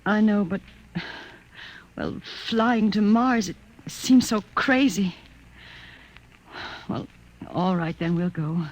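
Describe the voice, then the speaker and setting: Anxious wife in audio drama, hesitant, talks real slow, mid pitch. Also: female voice, poor audio. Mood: worried, hesitant. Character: hesitant, worried wife and mother. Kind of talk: radio drama acting.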